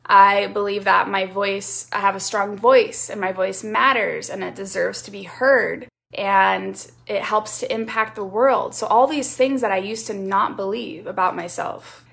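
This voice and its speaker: A woman's voice: medium pitch, fairly even intonation, a little slow, gravelly, hardly any pauses, a bit bright. A woman podcast host and yoga teacher talking in an earnest, encouraging way, stacking phrases for emphasis. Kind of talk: reflective solo podcast talk.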